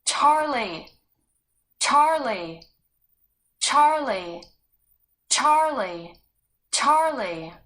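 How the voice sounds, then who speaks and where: A woman voicing an anxious girl in a storybook, calling out for a lost boy in long drawn-out shouts. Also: high voice, very animated, voice pushed up, exaggerated voice.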